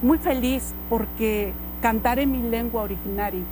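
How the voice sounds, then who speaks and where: A woman's voice: fairly high pitch, moderate pace, over music. A woman from Oaxaca whose first language is Mixtec, speaking earnestly as a guest on a radio interview. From Oaxaca, Mexico. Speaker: guest singer.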